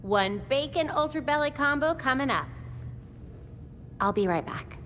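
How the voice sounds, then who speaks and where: A woman's voice: sits high, animated, unhurried, a bit bright. A woman playing a caring, upbeat young crimefighter in a video game, talking naturally and reassuringly. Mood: gently reassuring. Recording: faint background noise.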